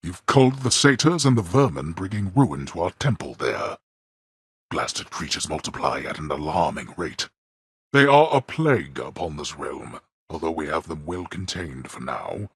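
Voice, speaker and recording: Cold stern underworld king in a game, super husky deep voice, swings his pitch a lot. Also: sing-song, moderate pace, dry recording, studio quality, exaggerated voice. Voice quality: very husky, gravelly. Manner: cold, disdainful, formal. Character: stern imperious male lord of the underworld. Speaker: voice actor. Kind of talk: video game voice lines.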